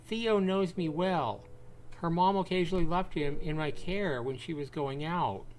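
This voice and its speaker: A man's voice: fairly high pitch, unhurried, pitched above the performer's own voice, lightly acted, rough recording. A man voicing a concerned, polite woman neighbor offering help in a visual novel game.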